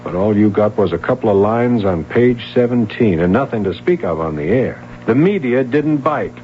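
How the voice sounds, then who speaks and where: A man's voice: lowish voice, expressive, normal speed, a little hiss or hum, natural voice. An actor in his thirties playing an earnest, persistent police sergeant in a 1970s radio drama. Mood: accusing. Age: 30s. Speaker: detective. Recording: dull lo-fi old radio broadcast.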